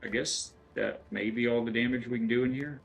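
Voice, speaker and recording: A man's voice: mid-range voice, steady tone, fairly quick, creaky, faint music behind. A middle-aged man from West Texas chatting casually while he plays a game, rambling and thinking aloud with lots of fillers and restarts. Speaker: game streamer. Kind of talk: casual gameplay chat with chat readers.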